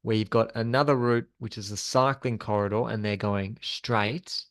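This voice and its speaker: A man's voice: lowish voice, steady tone, normal speed. A man presenting a slide-based training session as an instructor, explaining things in an informal, conversational way.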